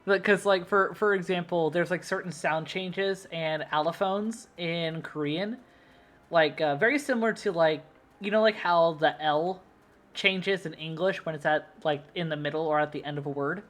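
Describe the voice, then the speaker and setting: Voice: medium pitch, moderate pace, fairly bright tone. A young adult streamer from the United States chatting casually at a desk mic, rambling with lots of fillers and laughing easily. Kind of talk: casual livestream chat.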